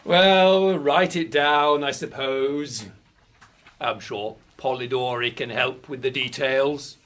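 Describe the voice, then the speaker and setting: A man playing a sceptical, indulgent literary gentleman in a period radio drama, measured and gently patronising, on a live stage. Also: mid-range voice, steady tone, slight background noise. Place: theatre stage, live performance.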